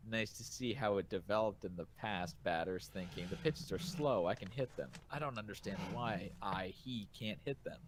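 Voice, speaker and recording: Lowish-voiced man reading comments haltingly. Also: background noise. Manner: halting reading, rephrasing as he goes. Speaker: podcast co-host reviewing manga. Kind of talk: casual chat, reading listener comments aloud.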